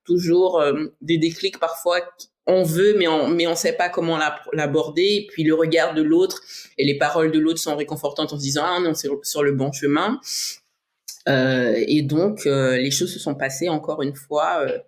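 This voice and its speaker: A woman's voice: sits low, steady tone, moderate pace, a little mellow. A woman from French Guiana, a bodybuilding athlete, talking as a guest in a casual podcast interview, reflective, with many fillers and restarts. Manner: reflective, frequent restarts and fillers, laughs easily.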